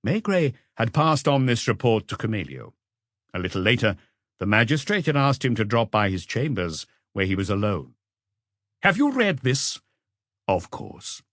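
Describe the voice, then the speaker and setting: A man's voice: fairly low pitch, animated, normal speed, fairly bright tone, no room sound, studio quality. A man reading a mystery novel aloud as a scripted audiobook narration.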